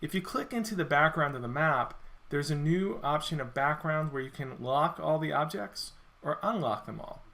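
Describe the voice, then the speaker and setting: A man walking through a software feature in a screen-recorded demo, explaining step by step, his voice a little worn as he clears his throat. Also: fairly high pitch, normal speed. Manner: relaxed explanatory walkthrough with self-corrections. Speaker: software product presenter.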